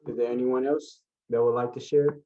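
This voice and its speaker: A man's voice: medium pitch, fairly even intonation, normal speed, warm tone, highs cut off. A man in his twenties presenting an online workshop, talking in an easygoing way with many fillers over a video call. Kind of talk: relaxed workshop facilitation.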